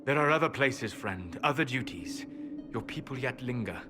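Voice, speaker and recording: An actor playing a gentle elf companion in a fantasy game, measured, formal and quietly persuasive. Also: male voice, mid-range voice, expressive, over music, lightly acted. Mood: calm, persuasive. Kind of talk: dramatic fantasy game acting.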